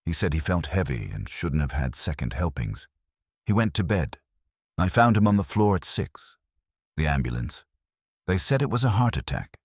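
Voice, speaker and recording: A man's voice: rumbling low, sing-song, normal speed, husky, dry recording, clean studio sound, full character voice. A man voicing a nervous, grieving widow in her thirties, pleading and holding herself together.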